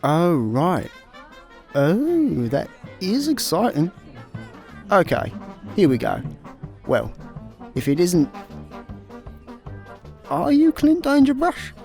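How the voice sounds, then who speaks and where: An actor playing a dim, laid-back intergalactic slacker on the run in a comedic sci-fi radio play, deadpan, with a Scottish accent. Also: male voice, high-pitched, slow pace, gravelly, long pauses, soft background music, full character voice. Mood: deadpan banter.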